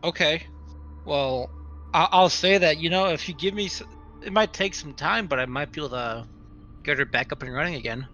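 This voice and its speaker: A man's voice: on the high side, moderate pace, pushed and bright, dull audio. A man playing a tabletop roleplaying game, talking casually and tentatively as he reasons out his moves aloud. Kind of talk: casual in-game talk, speaking as his character. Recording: noticeable background noise.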